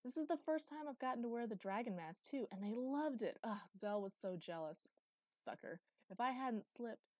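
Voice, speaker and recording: A woman voicing a cocky, playful teenage boy acrobat in an audiobook, chattering in an excitable, teasing, boastful way. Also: mid-range voice, moderate pace, no room sound, natural voice. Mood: teasing, elated. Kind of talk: audiobook character dialogue.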